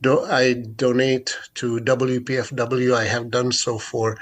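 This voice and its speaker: A man's voice: mid-range voice, flat delivery, unhurried. A middle-aged man from Jerusalem, a guest on a radio interview, speaking with many fillers and restarts. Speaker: guest activist.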